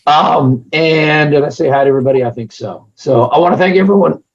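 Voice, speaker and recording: A hoarse man hosting an online meeting, chatting in a rambling way with lots of fillers. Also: on the high side, a little fast. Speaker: meeting host. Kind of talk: casual meeting opener. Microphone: computer mic on a video call. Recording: dull, muffled video call audio.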